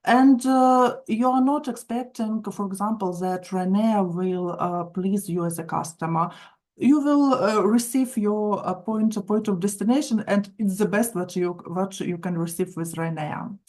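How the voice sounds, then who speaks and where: Woman, Ukrainian accent, medium pitch, instructor explaining, halting delivery, frequent fillers, online lecture style. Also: a little mellow. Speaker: marketing instructor.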